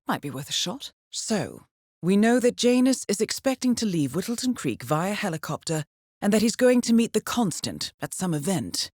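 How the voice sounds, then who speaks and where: A woman playing a composed, calculating intelligence handler in a video game, giving measured, precise mission briefings with dry, understated wit. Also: medium pitch, sing-song, a little mellow, no echo. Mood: calm briefing.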